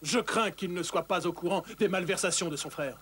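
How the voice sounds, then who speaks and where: Bold outlaw chief for French cartoon: high-pitched, confident, declamatory, faint noise underneath. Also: male voice, fairly quick, a bit bright. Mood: grave. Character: bold, roguish young outlaw leader of the forest.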